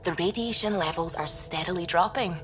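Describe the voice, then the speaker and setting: A woman acting a science officer in a sci-fi game. She sounds composed and dryly teasing, in a slightly low register. Faint music plays behind her. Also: fairly quick, close to an everyday voice. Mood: composed, wry. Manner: composed, wry. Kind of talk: video game cutscene acting.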